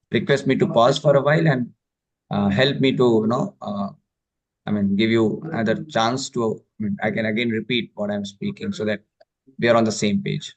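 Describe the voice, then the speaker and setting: A man's voice: on the low side, lo-fi sound. A man from India speaks with an Indian accent, teaching a spiritual class online. He explains things patiently, with many fillers and frequent checks that his listeners follow. The sound has a video call quality. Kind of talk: online lecture.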